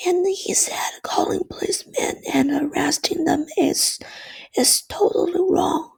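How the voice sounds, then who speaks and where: A woman with a bad cold gives a class presentation, her voice hoarse and almost gone. She mostly whispers in a gravelly, scratchy way and talks slowly, pausing on fillers. What voice she has left sits high. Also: darkish tone. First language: Chinese. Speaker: student presenter.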